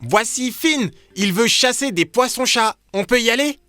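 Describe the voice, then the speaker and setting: A woman's voice: fairly high pitch, expressive, normal speed, fairly bright tone, soft background music. A woman voicing a playful, joking young boy adventurer in a children's cartoon, eager in manner.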